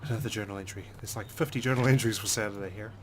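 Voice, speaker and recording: A low-pitched man reads visual novel narration aloud as a let's play host. He reads expressively and swears often, adding his own side comments. There is noticeable noise behind his voice. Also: fairly even intonation, very fast.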